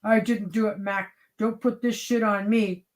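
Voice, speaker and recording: A woman's voice: mid-range voice, fairly even intonation, unhurried, natural voice. A woman playing an exasperated, accusing studio colleague in a dramatic story reading. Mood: angry, stern. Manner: stern, accusatory.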